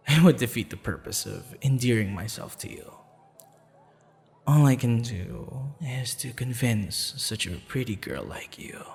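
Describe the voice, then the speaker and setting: A smitten assassin played by a man courts the listener in an ASMR roleplay, his voice swathed in long reverb with music underneath. He talks slowly and softly in formal, courteous phrases, flirtatious yet a little sheepish, with a gravelly creak. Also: medium pitch. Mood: playful, persuasive. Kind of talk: ASMR roleplay, intimate scripted monologue.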